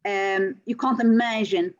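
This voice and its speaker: A woman's voice: mid-range voice, steady tone, unhurried. A woman from Syria, a chemical engineering lecturer, answering interview questions with many hesitant fillers. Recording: slightly dull recording.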